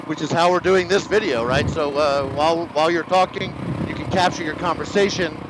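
Upbeat guy on a bike intercom, loud wind noise, kinda high voice. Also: brisk. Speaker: product reviewer riding a motorcycle. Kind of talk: casual chat over helmet intercom. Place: outdoors on a motorcycle trail. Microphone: helmet intercom boom mic.

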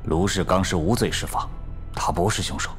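A man playing a middle-aged police bureau chief, measured, deliberate and authoritative, in an audio drama. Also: low-pitched, faint music behind, close to an everyday voice. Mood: questioning. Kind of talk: Chinese audio drama acting.

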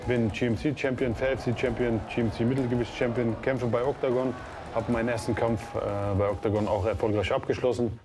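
A thirty-five-year-old man, a fight coach, introducing himself in a brisk, list-like way. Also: medium pitch, fairly even intonation, music in the background. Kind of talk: reality show interview.

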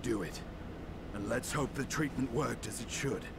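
Male actor as brooding game knight, mid-pitched, terse, grave, controlled, clear noise behind. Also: moderate pace, close to an everyday voice. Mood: resolute. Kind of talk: dramatic video game cutscene acting.

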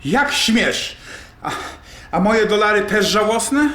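A man's voice: sits high, animated, slow pace, sharp tone, heavily acted. A man playing an indignant, self-pitying, hot-tempered middle-aged father in a radio drama, blustering and defensive. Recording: roomy sound with faint noise.

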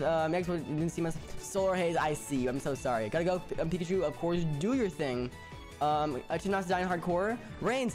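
A young man talks to his chat while gaming, his voice a touch high and very lively, bouncing up and down. He stutters and doubles back on words often. Soft music plays behind him. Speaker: streamer. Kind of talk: casual live-stream chat. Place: home room, indoors. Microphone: headset. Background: music playing.

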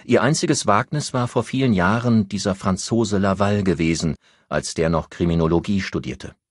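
A man with a very low, husky voice reads a novel as an audiobook narrator. The recording is dry and close, with scripted literary delivery. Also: normal speed, no echo.

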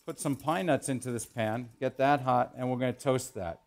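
A man in his forties, a chef instructor, explaining each step in a relaxed way as he cooks in a large teaching kitchen. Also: medium pitch, normal speed. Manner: relaxed, explanatory, thinking aloud while working. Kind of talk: cooking demonstration. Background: food sizzling in a pan.

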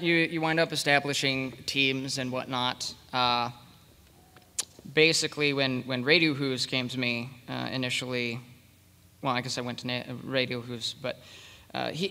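Bright-voiced man in a reverberant hall. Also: medium pitch, clear, resonant tone. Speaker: panelist, project leader. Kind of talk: unscripted panel talk. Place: convention panel room with audience.

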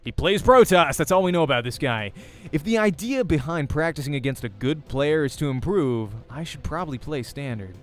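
A man's voice: fairly high pitch, animated, brisk. A young man hosting a let's play, reading a visual novel aloud in the first person with dramatic storytelling.

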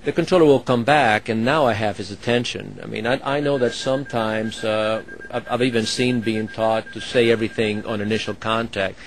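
A man with a fairly low voice who supervises air traffic controllers and also flies as a pilot. He explains how things work in a halting way, full of "uh" and restarts. There is a faint hum in the background. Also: steady tone, low-quality recording. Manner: hesitant, many fillers and restarts. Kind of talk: explaining his work to an interviewer.

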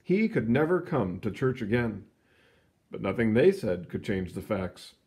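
A man reading a children's science book aloud in an expressive storytelling manner, stretching words for emphasis, with casual asides. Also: on the low side, creaky. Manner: animated, storytelling delivery with drawn-out emphasis. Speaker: read-aloud reader.

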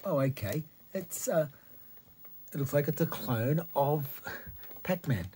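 A middle-aged Australian man giving a casual, unscripted hands-on demo in halting phrases, recorded on a handheld phone indoors. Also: a little high, lively intonation, background noise. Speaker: retro computer hobbyist presenter. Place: small cluttered room indoors.

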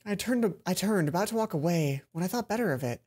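A man's voice: high voice, expressive, fast talker, dark tone, husky. A young adult man reading visual novel narration as a let's play host, with casual commentary. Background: game music.

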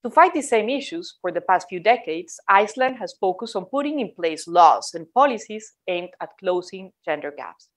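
A woman's voice: medium pitch, expressive. A woman host giving a formal, scripted introduction of a guest in a studio. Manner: formal, measured. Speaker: host, moderator.

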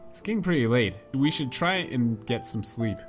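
A man's voice: mid-range voice, expressive, normal speed, close to an everyday voice. A man voicing an earnest young soldier in a visual novel game, sincere and plain. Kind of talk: reading visual novel dialogue aloud. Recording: game music behind.

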